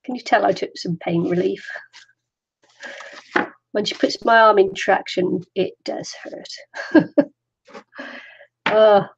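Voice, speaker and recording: A woman's voice: mid-range voice, steady tone, slow pace, gravelly, long pauses, husky, muffled recording. An older woman from the Welsh borders, chatty and self-deprecating, rambling through a casual craft tutorial. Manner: chatty, rambling, laughs often. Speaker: crafter streaming a zine tutorial.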